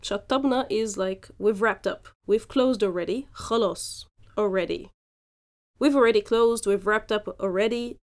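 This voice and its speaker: A woman hosting a podcast, teaching phrases slowly and explaining each example in turn. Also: medium pitch. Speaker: podcast host and Arabic teacher. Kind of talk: language lesson podcast.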